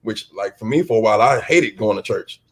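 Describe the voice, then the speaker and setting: A man speaking casually and candidly as an interview guest, halting and repeating himself for emphasis. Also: on the low side. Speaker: stage play cast guest.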